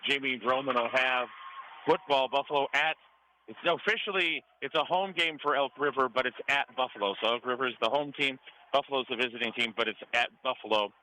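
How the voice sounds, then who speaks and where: A man with an American accent working as a radio sports announcer. His voice is very bright and forceful at an ordinary male pitch, and he talks conversationally, as in a live pregame broadcast. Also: brisk, slight background noise, rough recording. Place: high school gym.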